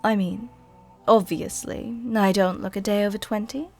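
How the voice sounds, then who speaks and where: A woman playing a worldly, softly dominant vampire countess in an intimate roleplay ASMR, with a soft, gentle, tenderly commanding way of speaking. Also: medium pitch, steady tone, normal speed, soft background music, close to an everyday voice. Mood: playful, worldly.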